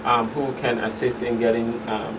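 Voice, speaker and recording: A man explaining things in a measured way as the expert guest on a radio talk show. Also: mid-range voice, level tone. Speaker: guest expert, professional counselor. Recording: distant mic, clearly noisy background.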